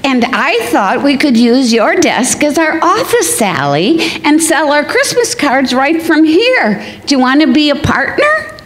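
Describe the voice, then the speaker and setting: A scatterbrained wife from an old-time radio comedy , played by a woman. Her voice is high and swings widely in pitch, taken at a slow pace as she reasons earnestly and gets things cheerfully muddled. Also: very animated. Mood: eager, muddled.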